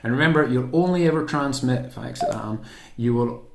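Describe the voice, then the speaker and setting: A man's voice: mid-range voice, brisk, vocal fry, heavy background noise. A British man giving a casual, unscripted tutorial indoors, informal and often correcting himself as he explains. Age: 30s to 40s. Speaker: host of a how-to sailing channel. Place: small home kitchen or room, indoors. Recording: roomy, echoey indoor sound.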